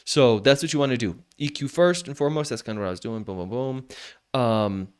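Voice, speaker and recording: A man's voice: mid-range voice, fairly quick, darkish tone, clean tone. A man teaching vocal mixing in a casual, loose tutorial from a home studio, often restarting his sentences as he explains. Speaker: mixing instructor. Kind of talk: casual screen-share tutorial.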